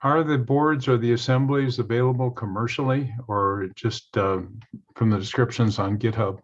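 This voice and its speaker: A man's voice: medium pitch, steady tone, normal speed. A man commenting and asking questions casually as an audience member, heard through lo-fi call audio.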